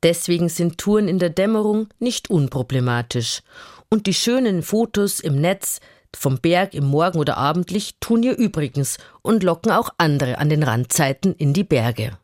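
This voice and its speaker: A woman with a fairly low voice reads a radio report in a calm, measured way. The sound is close and dry, with no room around it. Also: no echo. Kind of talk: scripted radio report narration.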